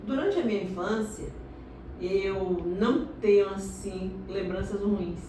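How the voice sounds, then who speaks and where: A middle-aged woman from Salvador, telling her life story in a slow, reflective interview. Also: mid-range voice, mellow, far from the mic. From Salvador, Bahia, Brazil. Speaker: interviewee, doctorate holder. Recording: echoey room, recorded from afar, noisy.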